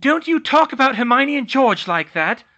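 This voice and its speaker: A man's voice: high-pitched, very animated, moderate pace, fairly bright tone, highs cut off, voice pushed up, full character voice. A man reading aloud voices a protective, fiery mother in a storybook.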